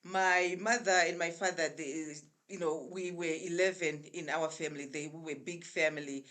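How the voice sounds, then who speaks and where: A woman in her sixties with a Zimbabwean accent speaks in a fairly low voice. She tells her story in a relaxed, chatty way, pausing often to gather her thoughts. She is heard over a remote call. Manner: chatty, unhurried storyteller with frequent pauses. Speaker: guest. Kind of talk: casual storytelling interview.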